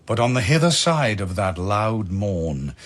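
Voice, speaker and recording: A man with a fairly low voice narrates archaic verse dramatically. His delivery is slow and declamatory, with big swings in pitch, over faint ambience. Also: very animated.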